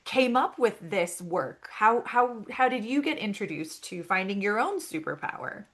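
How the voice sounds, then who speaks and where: A woman's voice: mid-range voice, animated, normal speed. A woman hosting a podcast interview, affirming her guest and asking questions with enthusiasm. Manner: warmly affirming, enthusiastic listener. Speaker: podcast host. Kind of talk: casual interview chat.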